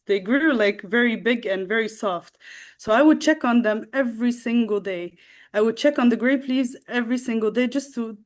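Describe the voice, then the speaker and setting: A young woman from the Netherlands, a guest telling a personal story in a halting way, with many fillers and restarts. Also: fairly high pitch. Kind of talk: casual storytelling.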